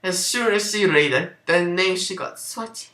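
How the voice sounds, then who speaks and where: A woman's voice: lowish voice, normal speed, fairly bright tone. A woman giving a mocking amateur dramatic reading of fan fiction, breaking off into sarcastic asides. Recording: roomy, echoing home recording.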